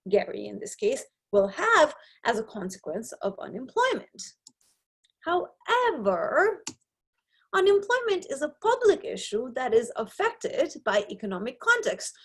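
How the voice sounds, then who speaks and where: A woman's voice: on the high side, slightly rough. A young woman from Nepal, with English as her second language, explaining sociology concepts in a recorded slide lecture and often checking in with "right?". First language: not English. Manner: explanatory, frequent tag "right?". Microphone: webcam on a video lecture.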